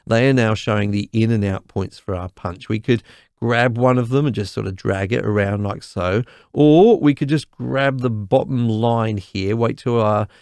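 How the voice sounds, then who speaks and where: A man with a slightly croaky voice explains music software in a relaxed, chatty way, often checking in with "okay?". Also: fairly low pitch, normal speed, no room sound, clean studio sound. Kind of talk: screen-recorded software tutorial.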